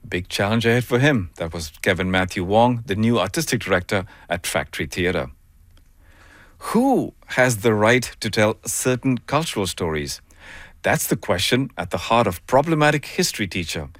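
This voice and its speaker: A male radio host with a Canadian accent and a low voice in a dry, close recording. He puts questions to guests and reads scripted introductions in a broadcast style. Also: animated, moderate pace, a bit bright, no room sound. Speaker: radio arts interviewer and host.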